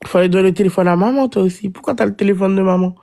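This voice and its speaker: A man chats on a live stream, his nose blocked by a cold and his breathing heavy. His voice is mellow and dark, and he talks bluntly, dwelling on each word. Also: high voice, rapid-fire, creaky. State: stuffy with a head cold. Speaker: livestreamer. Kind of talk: casual live-stream talk.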